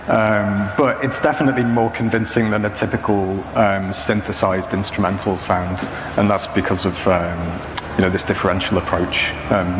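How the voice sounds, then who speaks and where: Man presenting in an echoey, noisy hall. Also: lowish voice. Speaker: presenter, saxophonist and machine learning practitioner. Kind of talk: technical conference talk. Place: indoor stage at a talk venue, before a projection screen.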